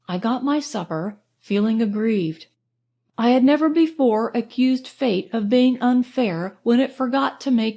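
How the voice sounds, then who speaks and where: Woman, audiobook narrator, higher voice, measured expressive reading, literary first-person storytelling.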